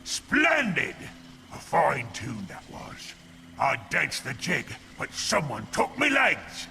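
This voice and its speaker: Game role: jolly old pirate ghoul, stage pirate accent, heavy gravel, lively swings in pitch, unhurried. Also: male voice, background noise, full character voice. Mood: delighted. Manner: archaic pirate speech, exclaiming heartily. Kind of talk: theatrical video game character acting. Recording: music behind the voice.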